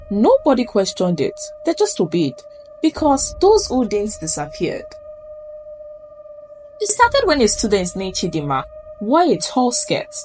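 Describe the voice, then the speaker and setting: Animated Nigerian woman telling spooky folktales. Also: mid-range voice, rough recording. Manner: suspenseful, theatrical delivery. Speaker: storyteller. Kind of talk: dramatic folktale narration for a spooky story. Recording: music under the voice. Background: background music.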